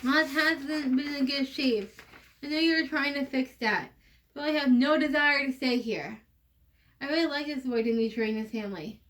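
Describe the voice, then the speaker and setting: A woman's voice: high voice, normal speed, fairly bright tone, far from the mic. A woman reading the part of an earnest, homesick young woman in a stage play. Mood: earnest, pleading.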